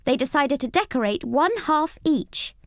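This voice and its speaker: A woman narrating a children's storybook read-along in an expressive, scripted style. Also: high voice, unhurried, no echo, clean studio sound.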